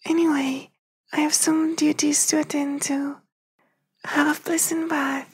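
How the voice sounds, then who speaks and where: Man acting as loyal household servant, ASMR roleplay, half-whispered, even tone, slow, formal, deferential. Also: very high-pitched. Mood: deferential, discreet. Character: polite, discreet, loyal household servant of a young master.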